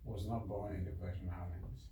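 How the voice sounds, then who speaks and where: A man with a Cameroonian accent, a bishop answering interview questions in halting, repetitive short phrases. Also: low voice, fairly even intonation, warm tone. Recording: echoey room, distant mic, faint noise.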